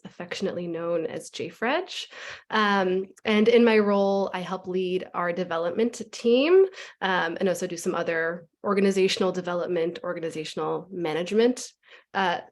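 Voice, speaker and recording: A woman, a nonprofit deputy director, speaks on an online panel in an enthusiastic, conversational way, often saying "like". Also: mid-range voice. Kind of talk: panel remarks.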